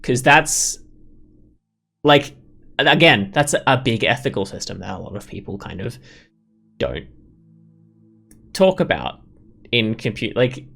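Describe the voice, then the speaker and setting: A man's voice: medium pitch, sing-song, unhurried, creaky, pauses often, pushed and bright, faint music behind. A man giving casual let's play commentary, opinionated and rambling as he thinks aloud. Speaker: gaming video host.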